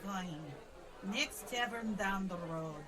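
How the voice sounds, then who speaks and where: A woman playing a skeptical spellcaster in a comedy radio play, dry and measured. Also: medium pitch, a crowd in the background, close to an everyday voice. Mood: dismissive. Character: skeptical spellcaster fond of curses.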